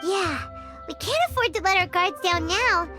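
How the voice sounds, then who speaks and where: A woman's voice: very high voice, normal speed, music in the background. A woman voicing a small, plucky, fairy-like girl sidekick in a video game, exclamatory and folksy. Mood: uneasy. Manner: refers to self by name, exclamatory, folksy.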